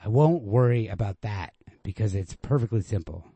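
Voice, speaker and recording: Guy doing a pushy anxious boyfriend from a short story, emphatic, cajoling, mellow tone, dry close sound. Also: fairly low pitch, expressive, normal speed, slightly rough, dry recording, pitched above the performer's own voice. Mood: insistent, placating. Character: insistent young American man pressing his girlfriend, anxious, cajoling.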